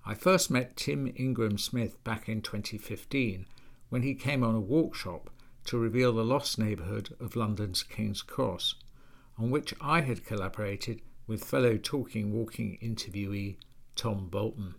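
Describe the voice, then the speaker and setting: A male podcast host with the measured delivery of someone presenting and interviewing. He speaks as a guide to the listener, in clear, orderly sentences. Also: on the low side. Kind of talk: scripted narration and field interviewing.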